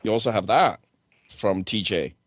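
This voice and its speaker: Male talk show host, lively intonation, casual and eager questioner, low-fidelity sound. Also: moderate pace, no echo, dull audio. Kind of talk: casual conversational interviewing.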